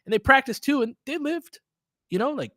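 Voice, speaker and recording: A man's voice: very high voice, animated, moderate pace, a bit bright, no room sound. Casual man calling basketball, dry close sound. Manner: conversational, mildly profane, trailing asides. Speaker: basketball play-by-play host and coach. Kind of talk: casual live game commentary and chat with listeners.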